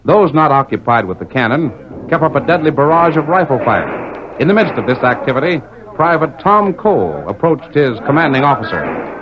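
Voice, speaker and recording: Animated American radio announcer, a man. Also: on the high side, normal speed, noisy background. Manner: dramatic, declamatory. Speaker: announcer and narrator. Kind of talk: 1940s radio scripted narration. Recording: muffled 1940s radio recording with music.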